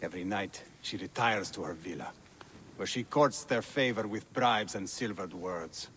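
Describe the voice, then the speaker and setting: A man playing a grieving, weary Egyptian father in a game cutscene, speaking gravely and formally with measured delivery. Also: deep voice, a bit bright, a little hiss or hum. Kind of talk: dramatic game cutscene acting.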